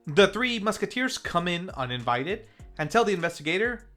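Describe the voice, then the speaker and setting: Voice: mid-range voice, big pitch swings, moderate pace, a bit bright, soft background music. A film review host narrating a scripted recap in a casual, playful storytelling manner.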